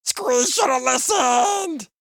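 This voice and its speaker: A man voicing a cocky little male goblin in an audiobook, with a shrill, creaky voice and drawn-out delivery. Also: huge pitch swings, bright, forceful tone, dry recording, heavily acted. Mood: shrieking.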